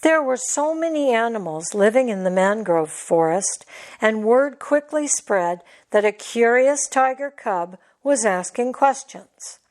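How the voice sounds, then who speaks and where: A woman's voice: on the high side, lively intonation, unhurried, a little mellow. A woman reading a scripted children's story as a storybook narrator. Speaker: storybook reader.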